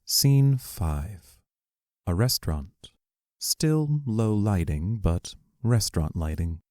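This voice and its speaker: A woman's voice: rumbling low, expressive, slow pace, dark tone, no room sound, studio quality, exaggerated voice. A woman reading the stage directions of an audio play as narrator.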